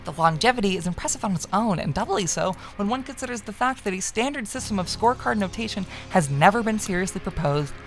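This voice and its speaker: Woman acting a measured, gravelly scholarly narrator. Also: mid-range voice, expressive, soft background music, natural voice. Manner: measured, formal. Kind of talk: scripted narration.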